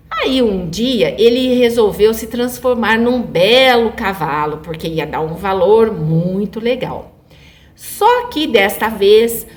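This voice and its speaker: A woman with a Brazilian Portuguese accent telling a fairy tale to children in an informal, conversational way, often checking in with her listeners. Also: fairly high pitch. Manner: conversational, addresses listeners with frequent check-in tags. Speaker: children's storyteller.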